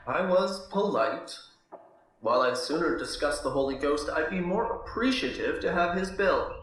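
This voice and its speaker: An actor playing a smug, controlling physician husband in a radio drama, speaking in a patronizing, formal, pompous way. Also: male voice, a little high, expressive, normal speed, a bit bright. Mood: smug, scornful. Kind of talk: theatrical radio drama, period diction. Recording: very echoey room, faint noise, clicks.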